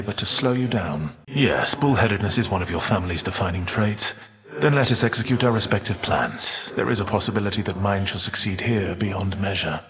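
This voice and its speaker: A man playing a weary, defiant, all-knowing Titan in a video game, speaking in a measured, formal, archaic and foreboding way. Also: deep voice, in a large hall. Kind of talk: theatrical video game character voice acting.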